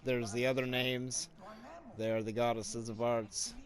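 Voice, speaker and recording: A man's voice: medium pitch, little pitch movement, a little slow. A man giving casual gameplay commentary as the host of a walkthrough. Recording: loud game music behind. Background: game music and sound effects.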